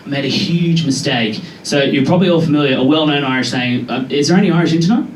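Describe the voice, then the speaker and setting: A young Australian man performing a chatty, self-deprecating stand-up set with quick asides to a live pub crowd. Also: on the high side, distant mic, a little hiss or hum. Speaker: stand-up comedian. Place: pub comedy room with a live audience. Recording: echoey room taped from afar, loud crowd laughter.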